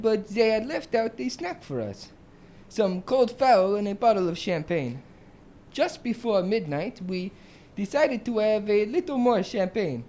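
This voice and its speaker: Casting: female actor for aristocratic French male witness, soft mellow tone, formal radio drama delivery. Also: medium pitch, natural voice. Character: male French duke, gentlemanly witness giving testimony. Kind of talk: student radio drama acting.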